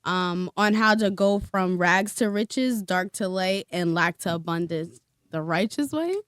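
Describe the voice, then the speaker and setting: A woman's voice: fairly low pitch, measured pace, fairly bright tone, clean tone, no echo, clean studio sound. A woman co-hosting a podcast, reading a guest introduction in a light, friendly way. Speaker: podcast co-host.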